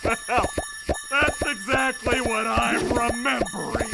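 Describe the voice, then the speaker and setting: A man voicing a jealous, histrionic human boyfriend making mocking threats in an animated comedy game. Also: very high voice, slow pace, creaky, loud music behind the voice, exaggerated voice, rough recording. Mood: menacing, mocking.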